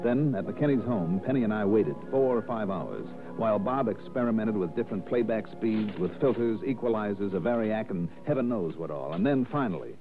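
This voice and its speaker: Hard-boiled investigator, brisk, matter-of-fact, radio drama, narrow lo-fi band, music behind. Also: male voice, fairly low pitch, lively intonation. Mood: matter-of-fact, resolute. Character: hard-boiled, unflappable male insurance investigator. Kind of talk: 1960s radio acting and narration.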